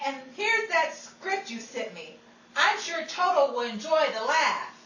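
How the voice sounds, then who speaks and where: A woman's voice: sits high, big pitch swings, a little slow, pushed and bright, heavily acted. A woman playing an indignant, theatrical actress in old-time radio style. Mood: scornful, dramatic. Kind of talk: 1940s radio acting. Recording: roomy, distant mic, noisy home recording.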